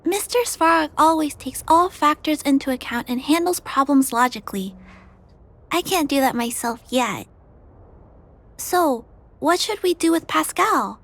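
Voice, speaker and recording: A woman playing a gentle, earnest young girl in a video game, polite and thoughtful but determined. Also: sits high, animated, unhurried, heavily acted. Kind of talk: scripted video game voice acting.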